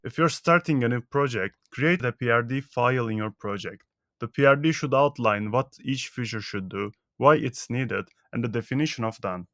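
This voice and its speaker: A male software engineer whose first language is Turkish, giving a scripted tutorial tip by tip in a brisk, matter-of-fact way. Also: mid-range voice, no echo, studio quality.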